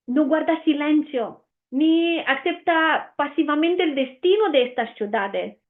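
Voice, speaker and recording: A woman's voice: high-pitched, narrow, tinny audio. A woman from Romania with an Italian accent, whose first language is Romanian, teaching the Bible earnestly with frequent "eh" fillers.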